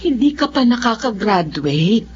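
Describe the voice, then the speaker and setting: A long-suffering, resolute Filipino mother pleads in a radio drama, her pitch swinging expressively. The recording is muffled, with slight noise behind her. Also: sing-song, measured pace, darkish tone. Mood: pleading, firm. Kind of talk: Tagalog radio drama acting.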